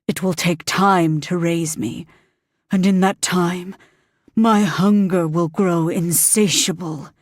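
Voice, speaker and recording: A woman voicing a huge, vengeful male demon lord, speaking slow and menacing in a lowered voice. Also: fairly even intonation, dry recording, studio quality.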